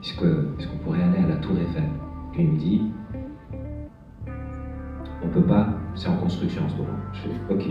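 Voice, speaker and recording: A young man from France performing a stand-up set on a bar stage, talking in a halting way with lots of fillers and restarts. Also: deep voice, very animated. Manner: hesitant, halting delivery with many fillers and restarts. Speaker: stand-up comedian. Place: bar stage with live audience. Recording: echoey, dull room sound from far off, music behind.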